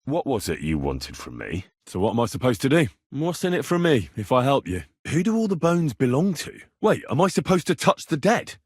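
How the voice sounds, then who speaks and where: A young medieval man from a video game, stubborn and cheeky. His voice is husky and sits at a middling pitch, recorded close and dry. He talks in a blunt, earthy, conversational way. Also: huge pitch swings, fairly quick, hardly any pauses, no echo. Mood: matter-of-fact, then puzzled. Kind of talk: video game character dialogue.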